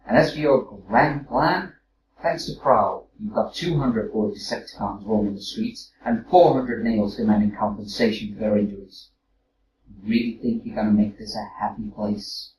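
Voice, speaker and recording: A man's voice: on the low side, moderate pace, lightly acted. A man playing a brash, sarcastic robot captain in an audio drama, with a needling, argumentative delivery. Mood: challenging, sarcastic. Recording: roomy, distant mic with faint noise.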